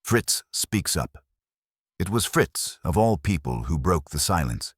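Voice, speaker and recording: A man's voice: deep voice, big pitch swings, measured pace, slightly rough, no echo, studio quality. A man narrating a scripted detective story as an audiobook.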